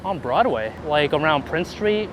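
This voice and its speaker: A man chatting casually and informally as he is interviewed about street photography outdoors, animated and quick to laugh, swearing now and then. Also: on the high side. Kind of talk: casual chat while walking outdoors. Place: outdoors on busy city streets. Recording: clear city street traffic noise.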